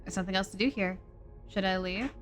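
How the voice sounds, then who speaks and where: A woman's voice: mid-range voice, brisk. A woman streaming a game live, chatting casually in a playful, self-deprecating way. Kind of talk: casual live gameplay commentary. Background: game music.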